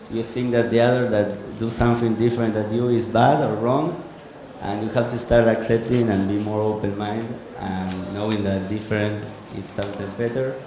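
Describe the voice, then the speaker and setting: A man sharing his view at a workshop, earnest and slightly halting. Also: on the low side, noisy background. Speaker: workshop participant. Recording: echoey hall with crowd murmur.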